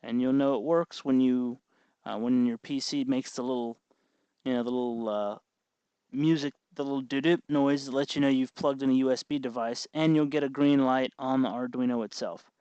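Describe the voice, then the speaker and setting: A man's voice: mid-range voice, steady tone, normal speed, dark tone, smooth, no echo. Man giving a tutorial, dry muffled sound. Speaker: host of a hobby electronics tutorial. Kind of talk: informal hands-on tutorial narration.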